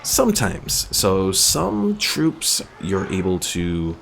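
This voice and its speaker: A man's voice: deep voice, huge pitch swings, slow, vocal fry, a crowd in the background. A man giving casual live commentary as a game streamer, chatting and thinking aloud as he explains mechanics.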